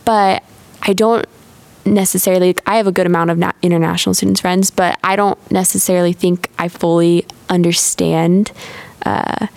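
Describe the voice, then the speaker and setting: Gravelly-voiced young woman hosting a podcast. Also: fairly low pitch, little pitch movement, moderate pace, a little mellow, no echo. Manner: chatty, many fillers, encouraging. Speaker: podcast host.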